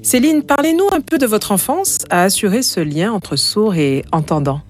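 A woman hosting an interview who asks polite, measured questions in a formal manner. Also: mid-range voice, lively intonation, faint music behind. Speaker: radio interview host.

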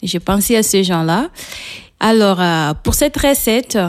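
A woman with a Portuguese accent, a native Portuguese speaker, talking as a guest cook in a radio interview and pausing often with fillers. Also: mid-range voice, expressive. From São Tomé and Príncipe, raised in Portugal. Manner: hesitant, frequent pauses with fillers.

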